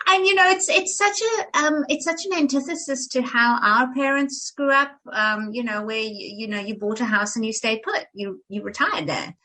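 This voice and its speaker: A woman's voice: a little high, normal speed, few pauses, sharp tone, poor audio. An older woman from South Africa, with a polished, English-sounding South African accent, talking animatedly as a guest in an interview, theatrical and full of restarts. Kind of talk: conversational interview.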